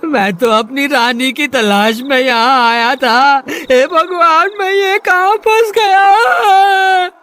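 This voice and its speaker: A man's voice: extremely high pitch, slow, few pauses, bright, forceful tone, full character voice. A man playing a gullible, lovestruck and easily frightened villager, exclaiming melodramatically in a cartoon horror comedy. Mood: terrified, pleading.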